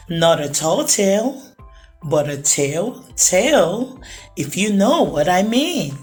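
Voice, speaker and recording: A woman narrating a children's storybook as a storytime host, with expressive, deliberate phrasing for young listeners. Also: on the low side. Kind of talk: children's storybook read-aloud, rhyming narration.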